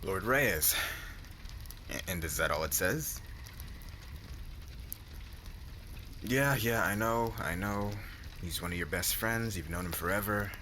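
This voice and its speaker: Deep, slow-talking young warrior groom, acted by a man. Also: lively intonation, bright, forceful tone, a little hiss or hum, full character voice. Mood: suspicious. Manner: casual slangy talk, stammers when flustered. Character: jealous warrior groom, young, laid-back, devoted, playfully teasing. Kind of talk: ASMR romance roleplay.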